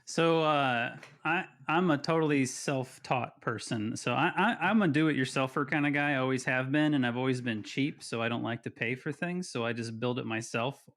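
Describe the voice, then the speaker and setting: A man's voice: mid-range voice, normal speed, hardly any pauses, fairly bright tone. A man in his forties, a podcast guest telling his story in a chatty, self-deprecating way. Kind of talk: casual podcast interview.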